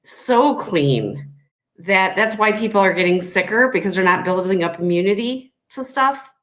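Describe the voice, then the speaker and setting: A woman's voice: mid-range voice, animated, moderate pace, fairly bright tone. A woman co-hosting a casual panel discussion, chatty and plainspoken, reading out listener comments.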